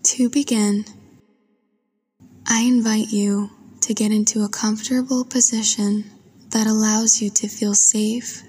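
Woman, guided meditation, soft mellow tone, even gentle delivery, washy long reverb. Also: mid-range voice. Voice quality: soft, breathy. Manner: soothing, gentle, unhurried.

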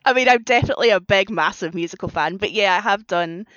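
A woman's voice: medium pitch, quick pace, fairly bright tone, no room sound. Enthusiastic woman, slightly dull dry audio. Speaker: podcast guest, fan fiction writer. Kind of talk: casual chat.

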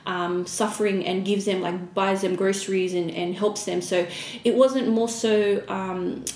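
Female interview guest, mid-pitched, casual, roomy echo with faint noise. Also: fairly even intonation, a little slow, darkish tone. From Fiji-born, raised in Australia. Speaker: podcast guest, small business owner. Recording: echoey room with background noise.

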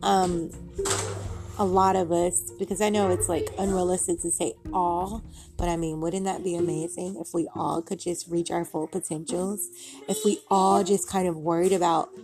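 A young woman with a raspy voice, talking at home in a rambling, giggly, upbeat way about positivity, with music behind her. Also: lowish voice. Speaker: vlogger. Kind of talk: casual motivational talk to camera. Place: home with kids playing.

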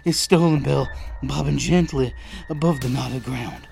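An actor playing a desperate, protective father in a post-apocalyptic audio drama, narrating tensely and deliberately in the first person. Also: medium pitch, creaky, a little mellow, soft background music. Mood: urgent, fearful.

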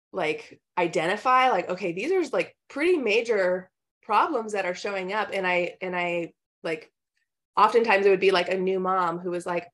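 A woman in her thirties, a nutritionist, telling her story in a casual podcast interview, chatty and full of "like" and "um", laughing now and then. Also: medium pitch. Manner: chatty, many fillers like "like" and "um", laughs often.

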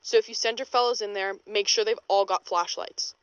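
A woman's voice: medium pitch, a little fast, clean tone, dry recording, dull audio, lightly acted. A woman playing a hard-boiled, world-weary female paranormal investigator in a 1920s noir audio drama, with wry, slangy delivery. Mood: brisk, wry. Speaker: investigator and narrator. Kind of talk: audio drama, noir-style recorded case statement.

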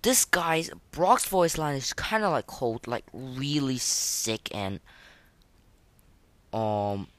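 A podcast host giving casual gaming commentary and ratings in a halting, repetitive way, full of slangy enthusiasm. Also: medium pitch, animated, dry recording.

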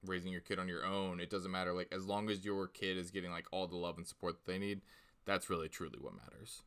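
A man in his thirties hosts a casual podcast, talking loosely and filling his sentences with little asides. His voice sits low but has a bright, forward tone. He laughs easily between points. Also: smooth. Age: 30s. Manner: chatty, filler-heavy, quick to laugh. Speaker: podcast host.

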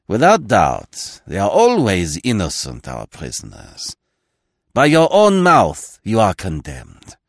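A man plays a self-important French magistrate in an audiobook, sneering and quick to anger. His theatrical, declamatory speech swings widely in pitch, heard in a dry recording. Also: no echo, exaggerated voice. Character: pompous, angry, sneering male French examining magistrate. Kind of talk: audiobook character acting.

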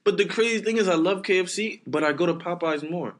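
A man's voice: sits high, fast talker. A young man from Brooklyn co-hosting a podcast, joking in a playful, slangy, emphatic casual chat. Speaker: podcast co-host.

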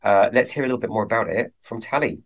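A man's voice: on the low side, fast talker, vocal fry. A man co-hosting a podcast, chatting casually with a hesitant, rambling manner and many fillers. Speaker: podcast co-host.

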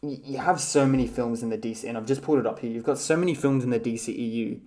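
A man's voice: medium pitch, steady tone, very fast, a little mellow. A man co-hosting a casual film rewatch podcast, talking hesitantly with many ums and likes. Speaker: podcast co-host.

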